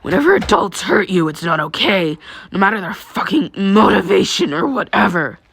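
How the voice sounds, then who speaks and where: A woman voicing an angry, foul-mouthed teenage boy in an audio story, forceful and gruff. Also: medium pitch, lively intonation, moderate pace, no echo, heavily acted. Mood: seething.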